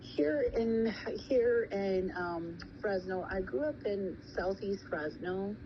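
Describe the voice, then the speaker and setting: A woman in her thirties, born in Thailand and raised in California, answering interview questions slowly, with frequent pauses and ums. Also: mid-range voice. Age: 30s. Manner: hesitant, many pauses and ums. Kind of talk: casual recorded interview. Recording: muffled with steady background noise.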